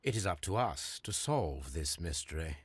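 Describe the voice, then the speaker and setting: An actor playing a brilliant, self-assured Victorian detective in a video game, with precise, formal English speech. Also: male voice, low voice, animated, moderate pace, no room sound. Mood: confident. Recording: clear background noise.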